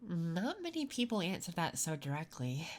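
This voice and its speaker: A woman playing a curious, attentive interviewer in an unhurried, intimate, soft-spoken ASMR roleplay. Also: on the low side, sing-song, noisy background. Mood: softly intrigued. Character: curious, attentive female interviewer in a late-night office. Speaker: ASMR performer.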